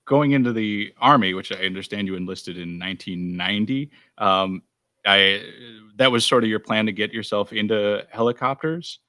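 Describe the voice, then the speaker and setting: Deep, bright-toned, dry male host. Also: normal speed, dry recording. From Brazil. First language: Portuguese. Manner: friendly, curious questioning. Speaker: podcast host. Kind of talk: podcast interview.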